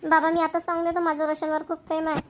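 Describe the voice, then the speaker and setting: Woman acting lovestruck, high-voiced young daughter. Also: completely flat delivery, quick pace. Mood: earnest, determined. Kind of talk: amateur cartoon voice acting.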